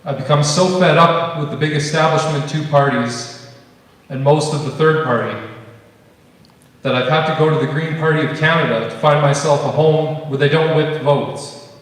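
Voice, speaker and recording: Loud man with a speech impediment. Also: on the high side, normal speed. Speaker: election candidate. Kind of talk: candidate forum answer. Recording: echoey hall, mic from far off.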